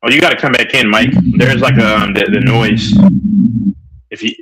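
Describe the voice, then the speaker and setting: Man, animated, emphatic, loud-voiced, restarts a lot, casual stream debate. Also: on the low side, normal speed, over music, rough recording.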